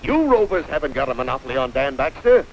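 An actor playing a gruff Navy officer in a 1940s training cartoon, clipped and authoritative. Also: male voice, medium pitch, very animated, quick pace, a little hiss or hum, natural voice. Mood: scolding. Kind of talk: 1940s training film acting. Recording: muffled 1940s film soundtrack, faint music.

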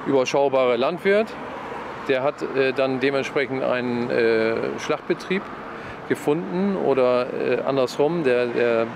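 A man in his fifties giving a halting interview answer, searching for words, outside a building. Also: medium pitch, vocal fry. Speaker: butcher business owner. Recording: outdoor street noise, passing cars.

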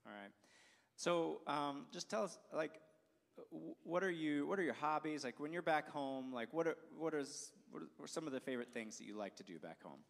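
A man's voice: fairly high pitch, expressive, moderate pace, vocal fry. A young man hosting a student interview on a church stage with a handheld mic, upbeat and prone to restarting his sentences. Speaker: school staff host. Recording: long reverb of a large hall.

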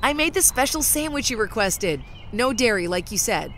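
A woman's voice: on the high side, big pitch swings, normal speed, a bit bright, slight background noise. A woman playing a chatty, caring young lawyer in an amateur audio drama. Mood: friendly. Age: young adult.

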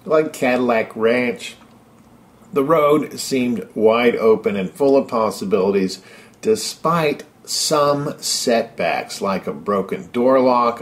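A middle-aged man giving an upbeat, enthusiastic first-person narration of a travelogue. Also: mid-range voice.

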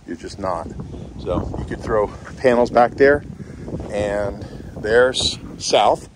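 Hoarse man chatting on a walk-through. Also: fairly low pitch, slow. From Kentucky. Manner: chatty and folksy, trailing off with fillers. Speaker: real estate agent giving a property tour. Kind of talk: casual walk-through chat. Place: outdoors on a rural property. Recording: outdoor noise with a passing vehicle.

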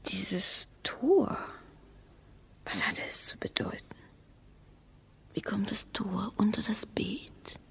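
A very breathy, bitter, anxious wife. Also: female voice, mid-range voice, huge pitch swings, takes it slowly, long pauses, heavily acted. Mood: hushed, bitter. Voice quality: breathy, husky. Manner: volatile, moving between breathy whispers and sharp outbursts. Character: anxious, bitterly mocking wife trapped underground with her husband. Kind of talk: radio play acting.

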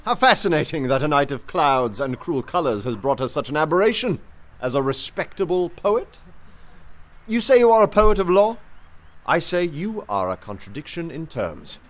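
An actor playing a fiery anarchist poet in a radio play, declaiming with theatrical, scornful rhetoric. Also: male voice, a little high, big pitch swings, moderate pace. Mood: sardonic. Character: fiery red-haired anarchist poet and orator. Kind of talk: radio drama acting.

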